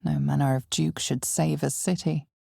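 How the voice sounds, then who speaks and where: Very low, husky fantasy game narrator. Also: female voice, steady tone, dark tone, no room sound, studio quality, full character voice. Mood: grave, dramatic. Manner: measured, theatrical, with weighty pauses. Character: omniscient female fantasy narrator, solemn, ominous, knowing. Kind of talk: dramatic scripted second-person narration of a fantasy game.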